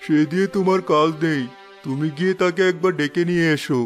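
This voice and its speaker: A man voicing a humble, trusting blind village father in an animated moral story, speaking earnestly. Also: on the high side, fast, soft background music, close to an everyday voice. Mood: firm.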